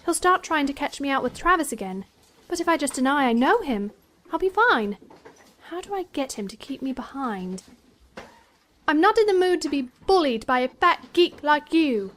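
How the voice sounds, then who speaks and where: A woman's voice: high-pitched, big pitch swings, normal speed, fairly bright tone, a little hiss or hum. A woman playing a defiant, anxious teenage schoolgirl in an audio drama, cheeky and urgent. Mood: scheming. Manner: cheeky, self-talking, urgent. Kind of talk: full-cast audio drama acting.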